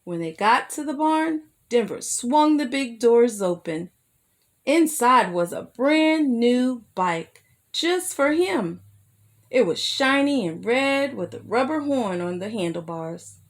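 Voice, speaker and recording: A woman reading a children's picture book aloud as a storyteller, expressive and careful, with asides to her young listeners. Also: fairly high pitch, slow. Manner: addresses young listeners with simple questions.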